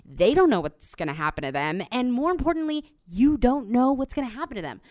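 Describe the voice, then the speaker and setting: A woman's voice: on the high side, a little fast, no echo, clean studio sound. Bubbly young woman hosting a podcast. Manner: bubbly, excitable, playful teasing. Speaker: podcast host. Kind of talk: casual group chat.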